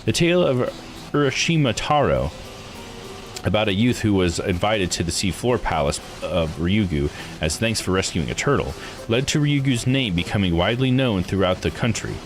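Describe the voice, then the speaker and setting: A man's voice: lowish voice, expressive, moderate pace. A man hosting a game playthrough, reading text aloud and musing to himself in casual live commentary. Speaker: host of a visual novel live playthrough. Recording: music behind the voice.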